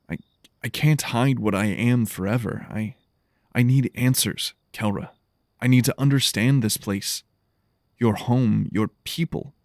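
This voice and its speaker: Man as a gentle lost explorer, thoughtful, halting, creaky voice, dry close sound, sci-fi audio drama. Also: mid-range voice, dry recording. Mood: hesitant, searching. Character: earnest, gentle male explorer stranded on alien world.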